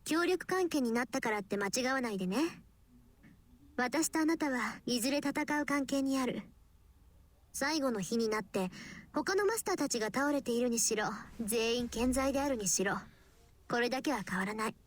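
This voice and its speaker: A woman playing a confident, teasing teenage girl mage in an anime visual novel, crisp and self-assured. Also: a little high, lively intonation, fast. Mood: cool, firm. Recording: loud background music under game dialogue.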